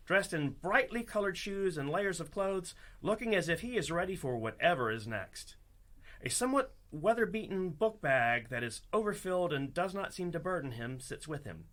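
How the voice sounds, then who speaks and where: An actor playing the storytelling narrator of a comic fantasy radio play, theatrical and descriptive. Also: male voice, a little high, bright, forceful tone.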